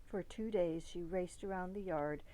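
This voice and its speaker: A woman reading a children's storybook aloud expressively, with dramatic emphasis that softens at phrase ends. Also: on the low side, unhurried, slight background noise, rough recording. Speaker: storybook reader.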